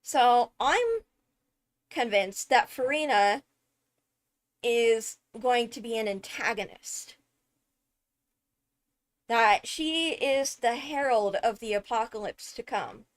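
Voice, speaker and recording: A woman giving enthusiastic fan commentary, thinking aloud with dramatic, deliberate phrasing and emphatic pauses. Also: a little high, lively intonation. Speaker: video creator analysing a game character.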